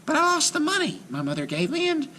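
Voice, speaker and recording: A man voicing a timid, polite little girl in a storybook, speaking meekly and softly. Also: extremely high pitch, huge pitch swings, quick pace, slight background noise, voice pushed up, exaggerated voice. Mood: meek, pleading. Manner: meek, hesitant, soft-spoken.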